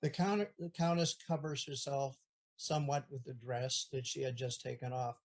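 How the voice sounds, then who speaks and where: A man's voice: mid-range voice, fairly even intonation, normal speed, slight background noise. A man with a mid-range voice reads stage directions for an audio play. He sounds plain and matter-of-fact. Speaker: narrator.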